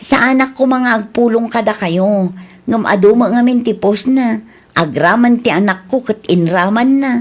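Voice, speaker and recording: An actress plays an indignant village woman in an Ilocano comedy radio drama. Her voice is fairly high, with an Ilocano accent. She complains and protests in a plaintive manner, as in a comic neighbourhood squabble. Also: normal speed, natural voice. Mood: complaining.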